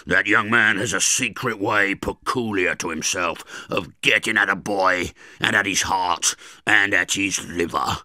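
A rough escaped convict growls out threats in thick rustic dialect, loud and dragging out his words. The voice is dry and close, with a bright, hard edge. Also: deep voice, unhurried, hardly any pauses, dry recording, full character voice. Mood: menacing. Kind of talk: dramatized book reading.

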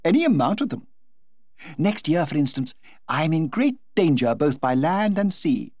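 A man voicing a vivacious forty-year-old society hostess in a high, gushing, theatrical tone. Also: big pitch swings, normal speed, darkish tone, dry recording, clean studio sound.

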